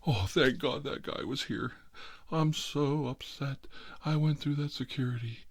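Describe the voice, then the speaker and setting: A man's voice: medium pitch, steady tone, a little slow, husky, voice pushed up. A man voicing his elderly veteran father, agitated and demanding, complaining in a whiny, repetitive way. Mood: upset, complaining.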